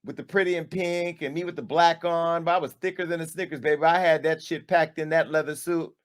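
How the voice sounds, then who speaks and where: A man hosting his own show, delivering a dramatic callout monologue with sass, swinging between hushed asides and loud, punchy bursts. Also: fairly high pitch, steady tone, a little fast. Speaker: media company owner and host.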